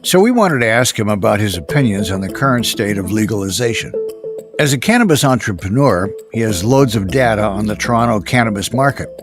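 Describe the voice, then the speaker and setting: Male podcast host over clear music. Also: fairly low pitch, expressive, fairly quick. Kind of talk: scripted narration. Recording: music playing behind the voice.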